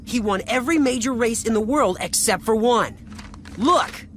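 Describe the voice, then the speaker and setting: A woman voicing an eager, clever, excitable young boy in an animated cartoon dub. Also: fairly high pitch, moderate pace, a little hiss or hum, lightly acted. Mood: eager, excited. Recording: faint music behind the voice.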